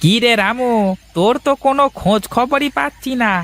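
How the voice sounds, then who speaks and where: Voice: mid-range voice, brisk, a bit bright, close to an everyday voice. A performer voicing a nagging village shopkeeper in a cartoon, scolding and chiding as he demands money. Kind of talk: cartoon voice acting.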